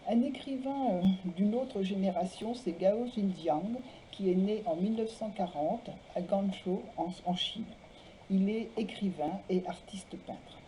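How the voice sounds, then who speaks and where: A woman presenting and reading literary texts aloud in a measured way, phrase by phrase. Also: mid-range voice, warm tone, slight background noise, rough recording. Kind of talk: literary talk, biographical introductions and read-aloud texts.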